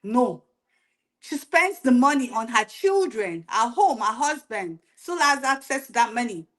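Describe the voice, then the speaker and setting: A woman's voice: fairly high pitch, fairly bright tone. Earnest woman arguing her view. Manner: earnest, argumentative. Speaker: panelist. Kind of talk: opinionated panel chat.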